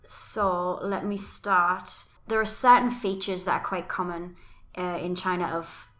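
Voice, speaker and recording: Woman, podcast co-host, bright forward tone, measured and explanatory. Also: lowish voice, steady tone, soft background music. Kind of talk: casual chat.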